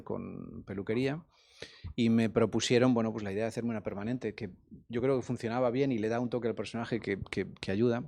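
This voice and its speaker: A man of middle pitch speaking in a calm, reflective way, building long answers in a press interview about a film. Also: vocal fry. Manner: measured, reflective, explains at length. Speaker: actor.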